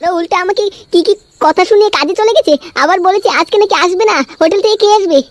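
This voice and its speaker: A woman's voice: very high voice, fast, no echo. A woman voicing a fed-up village mother in a comic cartoon, complaining coarsely and indignantly. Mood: aggrieved. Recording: faint background noise.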